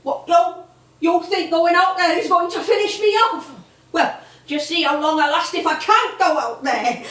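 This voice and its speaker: An actress playing a stubborn, wry old music-hall comedienne with a northern English accent in a stage drama. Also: female voice, very high-pitched, normal speed, fairly bright tone. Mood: defensive. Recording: echoey theatre, distant mic.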